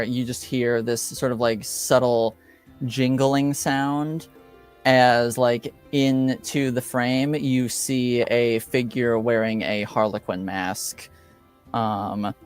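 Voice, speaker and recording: Slow, halting male game master, clear voice. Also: mid-range voice. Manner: halting, hesitant, piecing scenes together in fragments. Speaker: game master of a tabletop roleplaying game. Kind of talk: improvised game narration.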